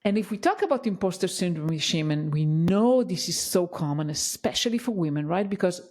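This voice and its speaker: A woman's voice: on the low side, lively intonation, a little fast, darkish tone. A woman with a foreign accent, hosting a conversational interview podcast. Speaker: podcast host.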